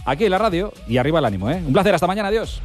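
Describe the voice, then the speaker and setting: A man with an accent from Spain hosting a radio sports show in lively live chat. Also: high voice, very fast, loud music behind the voice.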